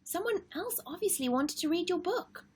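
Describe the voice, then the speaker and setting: A woman voicing a sensible older boy who gently scolds his little sister in a storybook read-aloud. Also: very high voice, expressive, normal speed, voice pushed up. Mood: firm. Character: patient older boy, sensible big brother.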